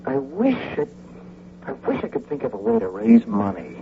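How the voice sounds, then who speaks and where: A man playing an excitable, incredulous who is short of money, in a radio drama. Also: high-pitched, normal speed, vocal fry, crowd noise, heavily acted. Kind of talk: old-time radio drama acting. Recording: muffled old radio with hum.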